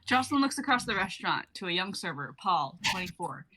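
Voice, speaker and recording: A woman's voice: fairly low pitch, sing-song, fast talker, pushed and bright, a little hiss or hum, poor audio. A woman in her twenties announcing and narrating script directions as a writer on a livestream. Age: 20s. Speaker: writer and stage-direction reader.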